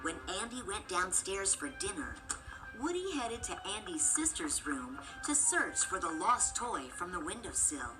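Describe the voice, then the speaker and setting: A woman reads a children's story aloud as narrator, with lively intonation. Her scripted delivery is expressive, at a medium pitch, with music clearly playing behind her. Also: measured pace, low-quality recording.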